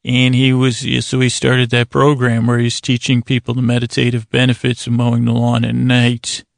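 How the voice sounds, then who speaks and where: Male sleep-podcast host, mid-pitched with plenty of vocal fry, meandering and soothing, drawn-out phrasing, stop-and-start. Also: monotone, no room sound, studio quality. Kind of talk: rambling bedtime monologue to lull listeners to sleep.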